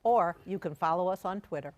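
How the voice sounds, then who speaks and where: A woman's voice: fairly low pitch, a little fast, slight background noise. A woman co-host reading scripted show credits in an announcing style. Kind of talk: scripted sponsor credits read-out. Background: music with drums.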